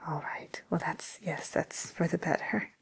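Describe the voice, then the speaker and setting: Breathy, whispering matchmaker woman acted out. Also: lowish voice, heavily acted. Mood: tactful, gently amused. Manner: hesitant, gently diplomatic, trailing pauses. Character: polite, tactful matchmaker woman at a villains' dating service. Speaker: ASMR role-play performer. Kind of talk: soft whispered ASMR role play.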